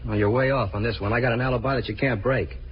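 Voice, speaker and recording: An American actor playing a combative, slangy, cornered murder suspect in a 1950s radio crime drama. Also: male voice, mid-range voice, steady tone, fast talker, close to an everyday voice. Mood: cocky, protesting. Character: defiant, cornered murder suspect, tough talking. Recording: dull vintage radio recording, faint noise.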